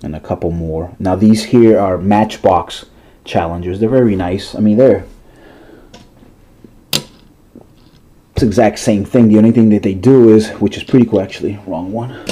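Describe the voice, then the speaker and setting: Stuffy-nosed man, low and mellow. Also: a little slow, pauses often, slight background noise. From Massachusetts, USA. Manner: rambling, chatty, with fillers and restarts. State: stuffy, congested nose. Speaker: toy car collector showing his collection. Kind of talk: casual vlog.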